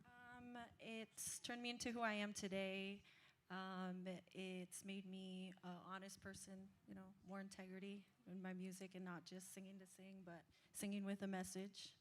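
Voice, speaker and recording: Soft-spoken New woman. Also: medium pitch, level tone, moderate pace, a little hiss or hum. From New Zealand. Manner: soft-spoken, brief answers with fillers and laughs. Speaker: musician guest. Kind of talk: live post-performance interview. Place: live stage at an indoor market venue.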